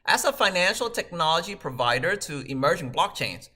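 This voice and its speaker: A man in his thirties, originally from Vietnam, delivering a measured, scripted video message as a company co-founder. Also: a little high, very animated, sharp tone. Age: 30s. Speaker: startup co-founder and CEO.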